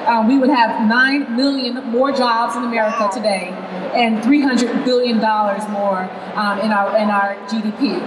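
A woman's voice: a little high, level tone, moderate pace. A woman speaking earnestly as a panelist in a discussion. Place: large conference hall. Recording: distant in a reverberant hall with noise.

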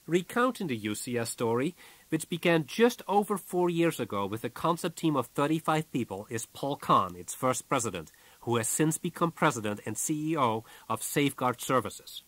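A man reading a business audiobook from a script in a measured, businesslike way, as its author. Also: medium pitch, animated, fairly bright tone, no echo, low-quality recording.

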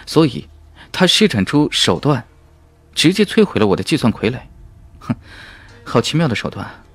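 A man voicing a defiant, determined young male cultivator hero in an audiobook, forceful and intense. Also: medium pitch, husky, no echo. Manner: forceful, intense, quick exclamations. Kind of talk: audiobook character acting.

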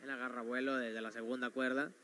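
A man's voice: mid-range voice, little pitch movement, brisk, background noise. A man calling wrestling moves play by play as they happen, in a gym arena. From Mexico. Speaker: wrestling commentator. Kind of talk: play-by-play commentary.

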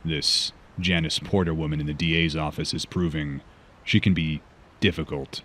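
A man's voice: a bass voice, fairly even intonation, moderate pace, fairly bright tone, a little hiss or hum. A man voicing a grave, guarded vigilante detective in a comic book fan dub, speaking solemnly and tersely. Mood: grim, analytical.

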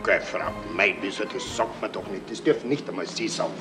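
A man's voice: on the low side, fairly quick, over loud music, natural voice. A man acting a down-to-earth, wry police detective in a folksy Bavarian accent, in a 1970s radio play. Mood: matter-of-fact. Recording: noisy, roomy vintage radio recording.

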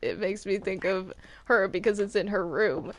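A woman's voice: mid-range voice, normal speed, slight background noise. A woman chatting casually in a roleplay game, hesitant and often repeating her words. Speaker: player in a Minecraft roleplay.

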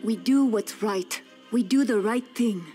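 A woman's voice: fairly high pitch, unhurried, mellow, faint music behind, lightly acted. An actress playing an earnest, principled woman appealing to others in a video game cutscene. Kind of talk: dramatic game cutscene acting.

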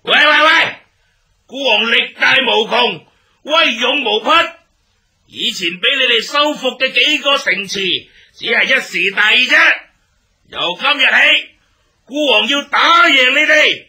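A man's voice: extremely high pitch, lively intonation, a little slow, sharp tone, heavily acted. A male actor playing a boastful, warlike barbarian king in a radio drama, blustering and declaiming in Hong Kong Cantonese. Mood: boastful, threatening. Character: boastful, bellicose barbarian king taunting his enemy. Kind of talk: theatrical radio drama acting.

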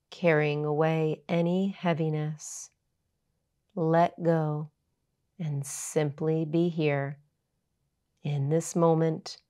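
Slow, low-voiced woman guiding meditation. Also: a little mellow. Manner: soothing, unhurried, measured instruction. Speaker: yoga teacher. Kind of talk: guided meditation narration.

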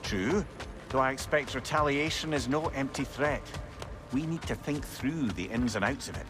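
An actor playing a shrewd, loyal advisor in a video game, with a wry, wordy, earnest way of talking. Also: male voice, mid-range voice, normal speed, lightly acted. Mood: wry concern. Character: shrewd, worldly male advisor and loyal companion. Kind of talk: video game character acting.

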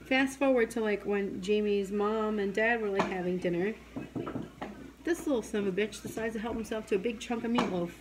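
A woman around forty, telling a story in an easy, chatty way with lots of "like" and frequent laughter. Her voice sits at a middle pitch for a woman, and there is a clear background noise throughout the home recording. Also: fairly quick. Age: 40s. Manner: chatty storyteller, laughs often, says "like" a lot. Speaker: co-host of a livestream show. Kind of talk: casual late-night chat with viewers. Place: dim home room at a dinner table.

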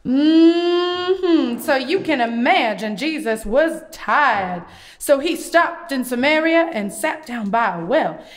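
High-pitched friendly puppet storyteller, done by a woman. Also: expressive, slow pace. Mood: storytelling. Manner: folksy, encouraging, teacherly. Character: friendly puppet John the Baptist, cheerful Bible storyteller. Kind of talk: children's puppet show dialogue.

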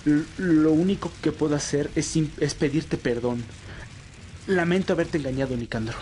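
A man's voice: fairly high pitch, moderate pace, darkish tone, slight background noise, close to an everyday voice. A man playing a loyal, anguished vampire in an amateur audio roleplay drama, earnest and pleading. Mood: resolute, regretful. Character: male vampire, anguished loyal friend defying his companion.

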